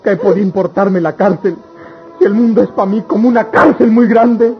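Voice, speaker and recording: A man acting a heartbroken young rural lover in a radio melodrama, halting and pleading. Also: high-pitched, lightly acted. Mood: despairing. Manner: halting, repeats words, pleading. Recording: dull lo-fi radio drama, loud music.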